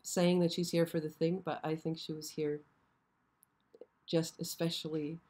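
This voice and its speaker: A hoarse woman hosting a casual live stream, chatty and often checking in with her viewers. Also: sits low, level tone, measured pace, warm tone. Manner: chatty, frequently checks in with "okay?". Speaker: show host. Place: show set.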